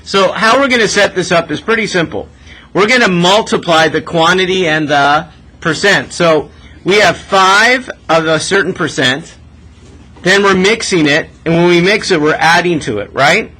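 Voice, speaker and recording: A man's voice: high voice, moderate pace, sharp tone, slightly rough, poor audio. A man teaching math step by step in a conversational way, asking checking questions as he goes. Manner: conversational, often tags questions with "right?".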